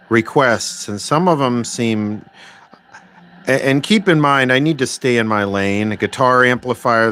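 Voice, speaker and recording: A man hosting a casual livestream about electronics repair, thinking aloud in a halting, rambling way with dry, wry remarks. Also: on the low side. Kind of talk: casual unscripted livestream chat, reading and answering viewer requests. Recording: clear steady background noise.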